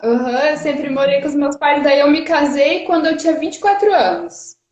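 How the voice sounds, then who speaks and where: Brief: high-pitched woman in her thirties with a Paraná Brazilian accent, nervous and chatty, on a muffled, echoey phone livestream. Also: animated, normal speed, darkish tone. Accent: Paraná Brazilian Portuguese. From Rio de Janeiro, Brazil. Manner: chatty, nervous, laughs often, many fillers. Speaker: guest. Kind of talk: casual livestream chat. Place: her parents' house, indoors. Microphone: phone on a charger. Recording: muffled, roomy livestream audio.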